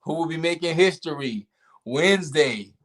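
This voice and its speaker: A man's voice: a little high, normal speed. A man hosting a casual podcast, laid-back and chatty, with a voice worn down from talking. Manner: laid-back, relaxed sign-off talk. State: losing his voice. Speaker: podcast host.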